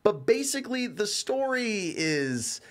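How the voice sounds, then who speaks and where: Higher-pitched man, casual rambling reviewer. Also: very animated, slow pace, a bit bright. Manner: rambling, informal, swears casually. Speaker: reviewer on a show. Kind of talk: casual conversational review of an animated series.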